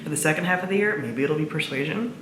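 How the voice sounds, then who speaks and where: Echoey, low-pitched woman chatting about favorites. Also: animated, fast talker. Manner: gushing, informal, mild swearing. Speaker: book reviewer, video host. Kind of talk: casual chat to camera. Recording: echoey room, faint background noise.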